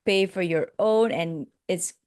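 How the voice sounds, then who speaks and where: Slow, soft-spoken Malaysian woman. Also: on the low side, no echo, clean studio sound. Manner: reflective and hesitant, with many fillers and restarts. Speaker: guest, life coach. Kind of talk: telling her life story in a podcast interview.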